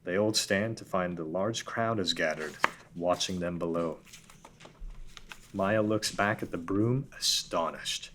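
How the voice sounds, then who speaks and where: A man reading out scene directions in an even, matter-of-fact way at a table read. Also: sits low, unhurried, slight background noise.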